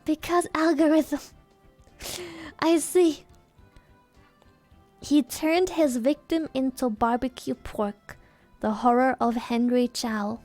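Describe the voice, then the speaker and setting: A woman live-streamer with a sore, dry throat chats playfully and giggles a lot. Her voice sits high and she takes her time. Faint music plays behind her. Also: steady tone, slow pace, pauses often, a little mellow. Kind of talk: casual live-stream chat.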